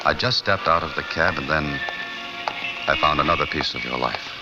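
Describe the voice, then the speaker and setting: An actor playing a wry, dogged American newspaper reporter in a deadpan 1940s radio drama style. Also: male voice, rumbling low, normal speed. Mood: somber, reflective. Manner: deadpan, wisecracking. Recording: dull vintage radio recording, music behind.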